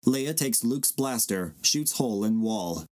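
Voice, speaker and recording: A man narrates stage directions from a script. His voice is medium in pitch, with a very dry, close sound. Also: lively intonation, a little slow, darkish tone, dry recording.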